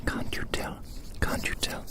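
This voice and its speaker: An ASMR performer whispering breathily as a gloating giant toying with tiny prey, savouring and repeating phrases with playful menace. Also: measured pace. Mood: domineering, gloating.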